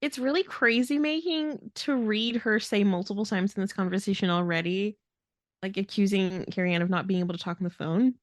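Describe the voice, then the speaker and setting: A woman's voice: mid-range voice, brisk, no room sound, clean studio sound. A woman co-hosting a podcast, venting casually in a blunt, exasperated and emphatic way. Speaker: podcast host.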